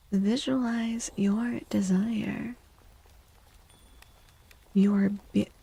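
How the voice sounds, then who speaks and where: A woman speaking softly and breathily in a gentle, unhurried near-whisper, chatting as an ASMR livestream host and often softly repeating phrases. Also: mid-range voice, poor audio. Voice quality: creaky. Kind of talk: soft-spoken ASMR livestream chat.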